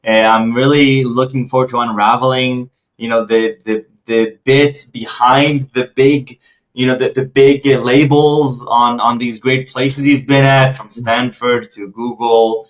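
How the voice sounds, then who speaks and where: A man speaks as the host of a conversational interview. His pitch is in the middle male range, and he feels his way into questions haltingly, doubling back on words as he goes. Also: steady tone, low-quality recording. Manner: halting, repeats words while forming questions.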